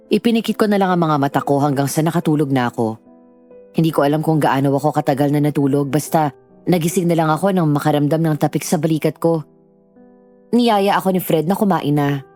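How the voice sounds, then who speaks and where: A low-voiced woman, acting as the character tells her own story in a measured first-person narration. Her tone is dark and mellow, with faint music underneath. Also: lightly acted.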